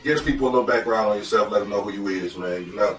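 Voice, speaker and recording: A man's voice: lowish voice, racing, a bit bright, echoey room, recorded from a distance, faint music behind. A man hosting a podcast, laid-back and slangy, interjecting as he chats with his guest. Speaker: podcast host. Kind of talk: casual podcast chat.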